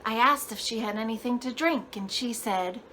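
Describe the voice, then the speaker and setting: A woman playing an eccentric, impassioned performance artist in a theatrical monologue, drawing out and half-singing phrases, swinging from hushed to loud. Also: a little high, normal speed. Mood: hushed, mysterious. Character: eccentric, impassioned female performance artist telling a surreal dream.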